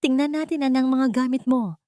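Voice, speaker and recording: A woman's voice: high-pitched, normal speed, warm tone, no room sound, studio quality, voice pushed up, lightly acted. Two-faced head maid, a woman storyteller acting: stern, admonishing aunt type for an audio melodrama. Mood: accusing, agitated. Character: two-faced senior housemaid aunt, sweetly warning, then accusing.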